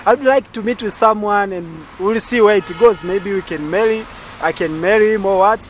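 A man's voice: high voice, normal speed. Young Tanzanian man vlogging outdoors. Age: young adult. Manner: chatty, eager, addresses viewers directly. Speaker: vlogger host. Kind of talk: casual street interview. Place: outdoors at a market stall area. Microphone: camera held at arm's length.

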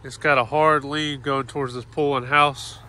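A man's voice: mid-range voice, level tone, moderate pace, sharp tone. A man in casual, practical talk, explaining each step of a tree removal as he films on a farm. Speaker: tree service worker filming his job. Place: outdoors on a farm. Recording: outdoor noise, chainsaw and tractor.

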